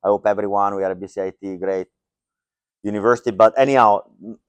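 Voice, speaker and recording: Middle-aged guy joking his way thru a tech talk, lowish smooth voice, dry close sound. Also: steady tone, fast, dry recording. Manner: joking, loose and conversational, many fillers. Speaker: conference speaker. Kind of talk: casual tech talk to a live audience. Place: lecture hall.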